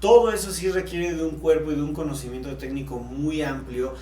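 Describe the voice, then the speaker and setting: A man's voice: a little high, fairly even intonation, brisk, dark tone. A man with a Mexican accent co-hosting a podcast in a casual, conversational way. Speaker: podcast co-host. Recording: echoey room, faint background hum.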